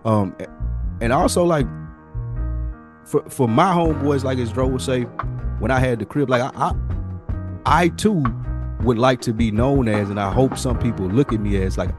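A man's voice: mid-range voice, moderate pace, long pauses. A man chatting casually on a podcast, telling stories in a direct, slangy, rambling way. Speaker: podcast co-host. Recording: music playing behind the voice. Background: music.

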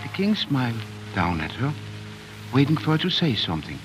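Man, old-time radio storyteller, middle-pitched, measured and dramatic, music clearly underneath. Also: very animated. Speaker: narrator. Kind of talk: 1940s radio storytelling narration. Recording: dull 1940s radio, music behind.

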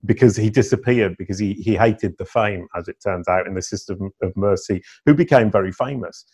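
A man's voice: on the low side, brisk, slightly rough. A middle-aged man, a guest telling stories in a casual interview with playful emphasis. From England. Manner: animated storyteller, playful emphasis.

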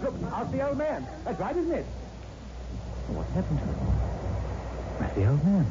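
An actor playing an earnest, modest hero of a railway ghost tale in a 1960s radio drama. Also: male voice, high-pitched, wildly swooping pitch, moderate pace. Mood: urgent. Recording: dull, noisy old radio recording.

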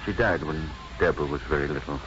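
An actor playing a gentle, bookish, apologetic father in a 1950s radio drama, courteous, wordy and hesitant. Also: extremely low pitch, fast talker. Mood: wistful, earnest. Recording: dull, noisy 1950s radio recording.

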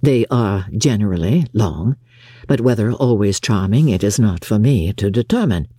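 A woman voicing a proud, reserved, coolly exacting gentleman in an audiobook, formal and measured. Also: rumbling low, lively intonation, slightly rough, no echo, clean studio sound, voice pushed down, heavily acted. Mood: cool reserve. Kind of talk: audiobook character acting.

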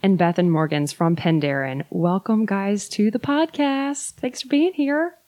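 A woman's voice: medium pitch, measured pace, darkish tone, no room sound, clean studio sound. A woman hosting a podcast in a casual, friendly, upbeat way. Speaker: podcast host.

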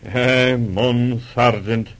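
A man's voice: mid-range voice, fairly even intonation, drawn-out words. Inquisitive Scotsman. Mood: intrigued. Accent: Scottish. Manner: inquisitive, marvelling. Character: intrigued Scottish listener hearing a sergeant's tale. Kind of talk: 1940s radio acting. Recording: old 1940s radio recording.

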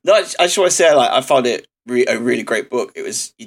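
A man co-hosting a podcast, his voice croaky, talking hesitantly with many ums and uhs. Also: mid-range voice, lively intonation, fast talker, background noise. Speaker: podcast co-host. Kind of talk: casual chat.

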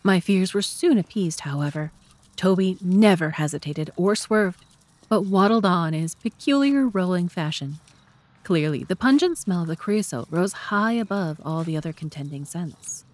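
A woman narrating a literary audiobook in a measured, formal storytelling manner. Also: lowish voice, expressive, a little mellow, no echo.

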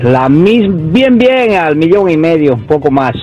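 A man's voice: a little high, very animated, a little slow, darkish tone, soft background music. A man calling in to a radio show, blunt and mocking. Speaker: caller. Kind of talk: phone-in comment. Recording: dull phone line on radio.

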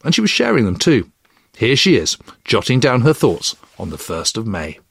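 Bloke narrating history podcast, chatty, lots of up and down, bit raspy, dry studio sound. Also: male voice, medium pitch, wildly swooping pitch, normal speed, dry recording. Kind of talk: lively scripted history narration.

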